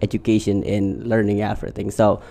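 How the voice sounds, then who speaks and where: A man's voice: on the low side, little pitch movement, a little fast, gravelly, warm tone. A man with an Indonesian accent hosting a podcast and casually interviewing a guest. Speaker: podcast host.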